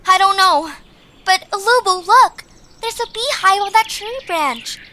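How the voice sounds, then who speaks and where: A woman's voice: very high voice, lively intonation, measured pace, pushed and bright, a little hiss or hum, full character voice. A woman voicing a brave, clever young creature who leads frightened friends in an amateur cartoon-style audio drama. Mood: urgent, determined.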